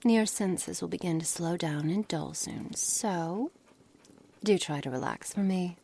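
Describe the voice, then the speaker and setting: A woman playing a cold, curious, menacing witch in an ASMR roleplay, speaking formally and condescendingly, often dropping into breathy near-whispers. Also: lowish voice, unhurried, dark tone, heavily acted. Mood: coaxing, controlled. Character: cold, menacing, curious witch experimenting on her captive pet. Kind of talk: ASMR roleplay, scripted dramatic monologue. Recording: noisy background ambience.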